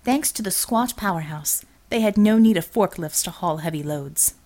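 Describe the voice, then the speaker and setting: A woman's voice: lowish voice, expressive, moderate pace, warm tone. A woman reading a scripted story as an audiobook narrator, in a dramatic storytelling style.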